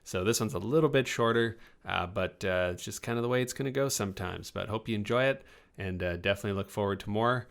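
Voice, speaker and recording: A man talking casually and informally as host and player on a tabletop game show, with halting phrasing. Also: fairly low pitch, gravelly. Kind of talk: casual chat.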